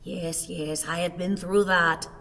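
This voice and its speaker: A woman's voice: on the low side, fairly even intonation, takes it slowly, creaky, a bit bright, big-room sound, full character voice. An actress playing a frail, melodramatic old wife in a radio play, plaintive and genteel in her wordy delivery. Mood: earnest, wistful. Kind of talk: theatrical radio play acting.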